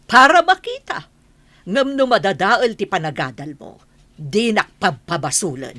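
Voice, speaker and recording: A woman's voice: medium pitch, expressive, a little slow, pushed and bright, dry recording. Stern, scolding Ilocano matron, played by a woman. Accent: Filipino, Ilocano. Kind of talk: Ilocano radio drama acting.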